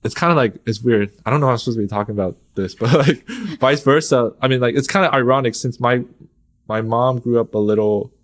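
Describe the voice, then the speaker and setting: A man's voice: mid-range voice, fast talker. A young man, a podcast guest, talking casually and rambling with many fillers and frequent laughs. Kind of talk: casual conversation.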